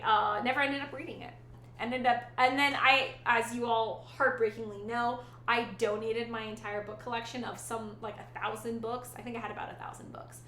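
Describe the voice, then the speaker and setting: Female streamer, bright higher voice, rambling casual chatter, echoey room. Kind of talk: casual chat with live chat.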